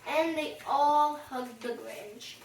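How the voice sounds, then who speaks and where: A storyteller improvising a bedtime story in a halting, childlike way, repeating words along the way. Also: high voice. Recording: echoey room, distant mic, faint noise, clicks.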